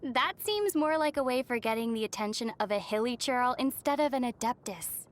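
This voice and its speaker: A woman voicing a composed young female traveler in a video game, gentle, measured and thoughtful, with a wry edge. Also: on the high side, animated, natural voice. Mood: thoughtful, knowing. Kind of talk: video game character voice lines.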